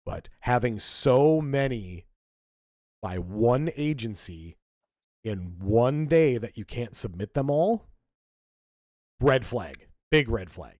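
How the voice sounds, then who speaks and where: Podcast co-host, man, lively swooping delivery, dry close sound, worked-up and blunt. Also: mid-range voice, slow pace, long pauses, no echo. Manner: emphatic, indignant, lots of restarts. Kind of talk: casual political commentary.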